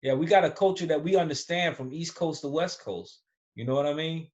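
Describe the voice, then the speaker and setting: A man's voice: fairly high pitch, a little fast, darkish tone. American man with a dull lo-fi sound. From United States. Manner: rambling, trails off, many restarts. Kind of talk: casual conversation.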